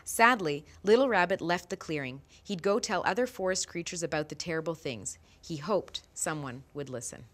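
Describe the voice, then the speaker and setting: Expressive woman reading a storybook aloud. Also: lowish voice. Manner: expressive storytelling, slow and lively. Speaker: teacher. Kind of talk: reading a picture book aloud.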